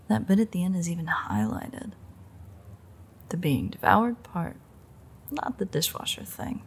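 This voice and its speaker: A woman's voice: fairly low pitch, creaky, darkish tone, slight background noise, full character voice. A woman playing a sardonic, threatening female vampire with a secret fondness, in a wry, teasing, half-whispered audio roleplay. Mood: amused, exasperated. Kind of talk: intimate audio roleplay acting.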